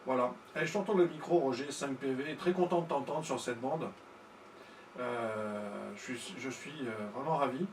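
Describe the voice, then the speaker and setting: A man talks casually as a ham radio operator, working through technical details with some hesitation. His voice sits at an ordinary male pitch. The sound is noticeably roomy, with a faint layer of noise behind it. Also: moderate pace. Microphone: studio-style mic through tube preamp.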